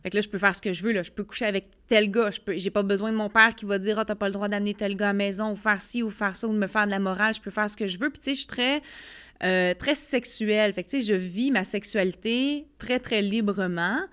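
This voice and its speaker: A woman's voice: mid-range voice, fast, clean tone. A young woman with a Quebec French accent, a podcast guest speaking candidly about her life, with many fillers and quoted thoughts. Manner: earnest, many fillers, lively storytelling with quoted inner voice. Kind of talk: candid personal testimony.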